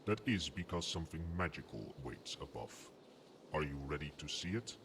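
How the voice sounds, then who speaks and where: Man voicing a very deep, huge old dragon, noisy background. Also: lively intonation. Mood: serene, fond. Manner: formal, unhurried musing. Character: huge old dragon in true form, calm, fond. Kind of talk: ASMR roleplay acting. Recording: loud ambient noise behind the voice.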